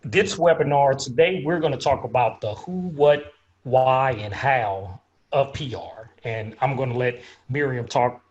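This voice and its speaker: An upbeat man hosts a monthly webinar at a mid-range pitch. He welcomes his guest with easy laughter and keeps the talk moving with short questions. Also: unhurried, darkish tone, lo-fi sound. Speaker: webinar host. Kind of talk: hosting a webinar.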